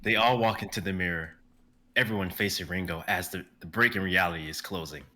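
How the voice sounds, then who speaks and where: A man narrating a live dramatic reading in a steady, plain way. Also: low voice, brisk, slight background noise.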